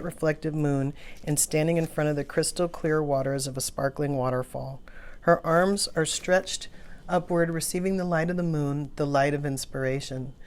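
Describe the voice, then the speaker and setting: A woman's voice: sits low, darkish tone, clean tone. A woman hosting an oracle card reading in an informal, musing, conversational way. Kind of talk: casual rambling card reading, partly read aloud from a guidebook.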